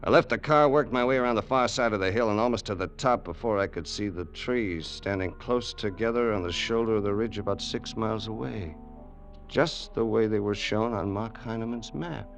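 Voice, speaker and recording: An actor playing a loyal, eager crime sidekick in an old radio suspense drama, plain-spoken and deferential. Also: male voice, on the low side, normal speed, lightly acted. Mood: tense narrating. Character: loyal, eager male crime sidekick telling his story. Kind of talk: 1950s radio acting, first-person narration and dialogue. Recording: vintage radio drama with music bed.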